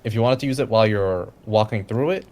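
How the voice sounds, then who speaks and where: A man's voice: on the low side, fast talker, darkish tone. A man acting as game master, casually explaining rules and scenes to players.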